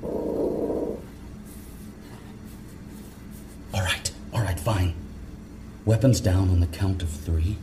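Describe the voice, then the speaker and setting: An actor playing a gruff, commanding, territorial National Guard corporal in a zombie audio drama. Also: male voice, deep voice, takes it slowly, noisy background. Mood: wary, conceding. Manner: commanding, profane, stern.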